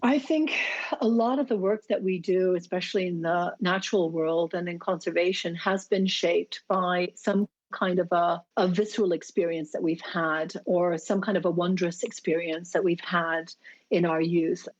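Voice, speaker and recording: A woman's voice: on the low side, steady tone, moderate pace. Earnest woman, slightly dull recording. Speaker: interview guest, shark conservationist. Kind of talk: reflective personal storytelling.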